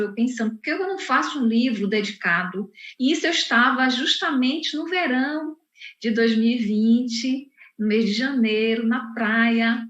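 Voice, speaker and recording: A woman's voice: fairly high pitch, fairly bright tone. A woman from Salvador, a poet and professor, speaking unhurriedly at an online book launch and often restarting her sentences. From Salvador, Bahia, Brazil. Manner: unhurried, with frequent restarts and fillers. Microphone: video call. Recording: muffled, roomy video call audio.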